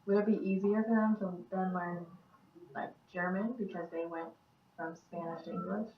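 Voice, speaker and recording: A young woman, a university student, thinking out loud and asking questions in a casual classroom group discussion. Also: on the low side, fairly even intonation, moderate pace, a little hiss or hum. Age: young adult. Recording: echoey room from a distant, muffled mic.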